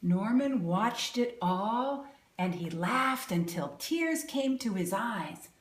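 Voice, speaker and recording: Slow, expressive woman reading a picture book. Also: mid-range voice, reverberant. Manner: expressive, exclaiming and asking children questions. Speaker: storybook reader and educator.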